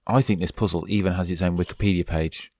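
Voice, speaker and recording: A man's voice: deep voice, steady tone, fast talker, darkish tone, dry recording, studio quality. A man from the UK commenting casually over a game, chatty and urging his character on, while losing his voice. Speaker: let's play host.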